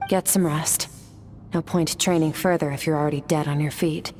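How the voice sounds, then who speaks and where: Casting: woman voicing a low-voiced, steady sword mentor for a game, measured and exacting, soft music under. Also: natural voice. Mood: calm instructive. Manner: calm, measured, instructive. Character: stern composed female sword master. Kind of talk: game voice acting.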